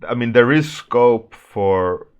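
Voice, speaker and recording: A man's voice: mid-range voice, lively intonation, takes it slowly, clear, resonant tone, dull audio. A man from Sweden with a Swedish accent, chatting casually on a stream while he draws, rambling with restarts and frequent laughs as he answers chat. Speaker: artist streaming a warmup session.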